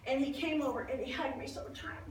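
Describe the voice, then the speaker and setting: A woman playing a devout older priest's wife in a dramatized Bible monologue, telling her story conversationally and expressively, with halting restarts and acted-out exclamations. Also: high voice, pitched above the performer's own voice, close to an everyday voice. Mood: fond, reminiscing. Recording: roomy echo, distant mic, faint noise.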